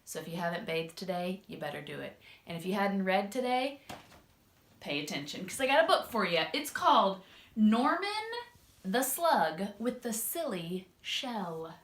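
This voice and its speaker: A woman doing an expressive children's read-aloud, drawing out words and speaking straight to young listeners. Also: mid-range voice. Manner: expressive, drawn-out emphasis, addresses young listeners directly. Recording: echoey room.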